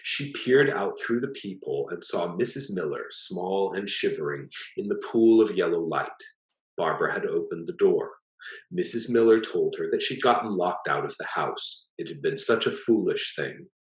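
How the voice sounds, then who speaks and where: Very deep-voiced man reading in an echoey room. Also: lively intonation, moderate pace. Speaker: author reading own short story. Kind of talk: literary story reading, scripted narration. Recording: echoey, roomy sound.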